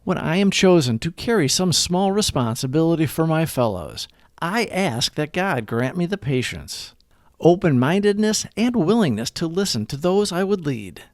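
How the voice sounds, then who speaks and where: A man hosting a daily recovery reading, delivering scripted reflections with deliberate, emphatic phrasing. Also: a little high, very animated, no echo, studio quality. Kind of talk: scripted reflective read-along narration.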